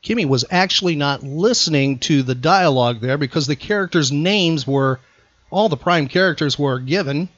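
A man's voice: a little high, moderate pace, a bit bright, no echo. A man hosting a trivia podcast with casual, teasing, mock-incredulous banter, on a slightly dull, dry recording.

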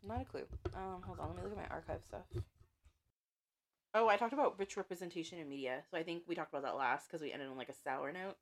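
A woman's voice: lowish voice, fast talker. A woman co-hosting a podcast in casual chat, laughing often and talking with friends. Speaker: podcast co-host.

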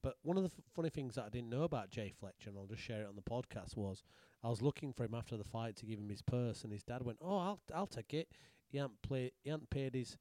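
A middle-aged man from Yorkshire with a Yorkshire accent, co-hosting a podcast in casual chat and telling stories with lively quoted voices. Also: mid-range voice, quick pace, hardly any pauses, no echo. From England, Yorkshire. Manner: animated storyteller, acts out quoted voices. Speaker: podcast co-host, fight promoter.

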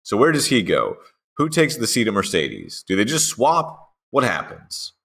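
A man's voice: on the low side, huge pitch swings, normal speed, creaky, a bit bright. Playful man co-hosting a podcast. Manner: playful, riffs with mock dialogue. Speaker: podcast co-host. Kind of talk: casual chat.

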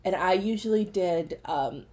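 A young woman co-hosting a casual chat podcast, relaxed and a little hesitant, often pausing on fillers. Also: lowish voice. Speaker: podcast co-host.

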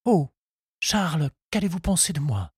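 A man's voice: mid-range voice, animated, moderate pace, darkish tone, breathy edge, no echo, studio quality. A man voicing a coy, scheming young woman in an audiobook, who sounds demure and calculating.

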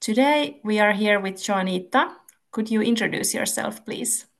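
A woman with a Finnish accent hosts a podcast in English. Her voice has a gravelly, creaky texture at a middle pitch. Her delivery is clearly paced and partly reads like a prepared script. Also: normal speed. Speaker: podcast host and Finnish language lecturer. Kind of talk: podcast hosting, partly read intro.

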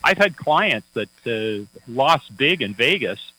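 A man's voice: medium pitch, very animated, slow, bright, forceful tone, rough recording. A man working as a financial advisor, chatting on a radio talk show in a folksy, anecdotal way with many fillers and restarts.

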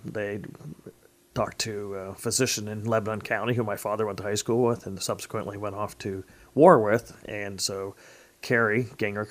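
A man with an American accent and a somewhat low voice, in his fifties. He speaks conversationally, like a local politician telling stories in an interview. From Pennsylvania, United States. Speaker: state legislator being interviewed.

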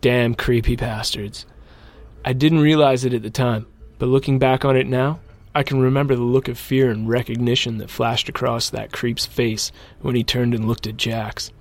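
A man playing a mech pilot and sole survivor, narrating his account in a measured first-person audio drama style. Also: mid-range voice, little pitch movement, lightly acted. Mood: grim, reflective.

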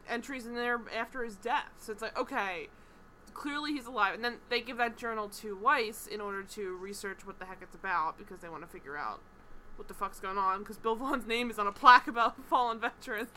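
A woman chatting casually about a TV show, animated and rambling, laughing often and swearing. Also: fairly high pitch, fairly quick, a little hiss or hum. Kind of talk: casual chat recapping a TV show.

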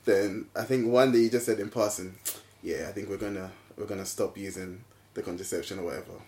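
A man in his late twenties chatting casually on a podcast, animated and quick to laugh. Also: lowish voice. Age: 20s. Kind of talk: casual chat. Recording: echoey room with faint noise.

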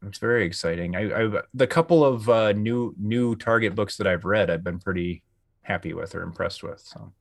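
A man with a low voice hosts a casual podcast chat. He feels his way through sentences in a hesitant, rambling manner. The sound is dry, with very little room to it. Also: normal speed, creaky, dry recording. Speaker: podcast host.

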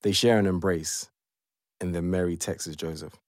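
A man's voice: low voice, fairly even intonation, moderate pace, no room sound, studio quality, close to an everyday voice. A man reading scripted narration as the storyteller of an audio play. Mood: measured.

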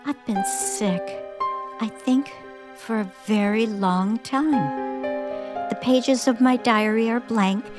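A woman acting a frightened, unhinged woman in a radio play, halting and dramatic, repeating her phrases. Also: a little high. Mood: fragile, dazed. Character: frightened, unhinged woman, now giddy and triumphant. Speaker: actor. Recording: music behind the voice. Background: music.

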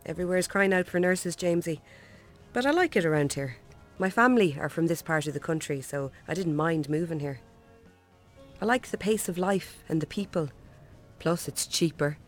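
An actress playing a friendly Irish visiting nurse in a radio drama, reassuring and gently probing, with a lightly teasing manner. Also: female voice, on the low side, expressive, normal speed, faint music behind, close to an everyday voice. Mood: chatty, calm. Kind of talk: naturalistic radio drama acting.

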